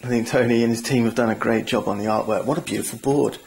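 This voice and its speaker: Gravelly, even-toned man explaining rules. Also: medium pitch, fast, a little hiss or hum. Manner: chatty, enthusiastic, self-deprecating asides. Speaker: board game channel host. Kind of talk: tabletop game rules walkthrough.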